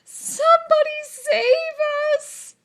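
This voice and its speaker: Woman acting out high-pitched, exaggerated storybook dogs. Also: fairly bright tone, exaggerated voice. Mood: terrified. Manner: exaggerated, drawn-out cries. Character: pack of mocking, easily frightened neighborhood dogs.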